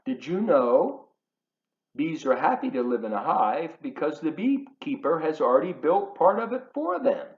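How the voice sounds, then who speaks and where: A man reading a children's book aloud in an expressive, emphatic, teacherly way, adding chatty asides for his young listeners. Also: medium pitch. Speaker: volunteer children's storybook reader. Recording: roomy echo, slightly dull sound.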